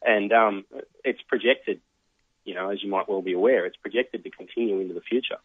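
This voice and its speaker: An Australian man giving measured, analytical explanations as an expert guest in a radio interview. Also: on the low side, slightly rough, dry recording. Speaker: think tank research fellow, interview guest. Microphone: telephone. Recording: tinny narrow phone line.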